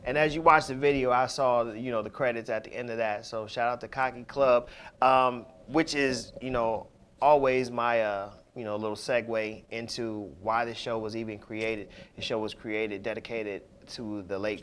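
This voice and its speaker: A man hosting a radio show in casual talk, loose and full of "you know" fillers as he gives shout-outs. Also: medium pitch, fairly even intonation, moderate pace, smooth. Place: radio studio.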